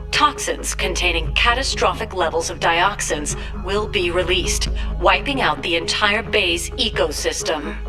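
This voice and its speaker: An actress playing a television news field reporter in a video game, with a formal, emphatic delivery. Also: female voice, mid-range voice, lightly acted. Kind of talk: live news report acting.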